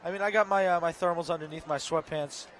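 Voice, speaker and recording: A young man giving relaxed, chatty color commentary outdoors at a football game. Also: a little high. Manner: relaxed, chatty, with filler pauses. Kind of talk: live sports commentary.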